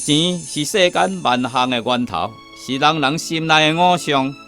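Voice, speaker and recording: An actor with a Taiwanese accent playing a greedy money idol in a radio drama, hawking riches with drawn-out calls. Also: male voice, on the high side, creaky. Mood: gloating, enticing. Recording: music loud behind the voice.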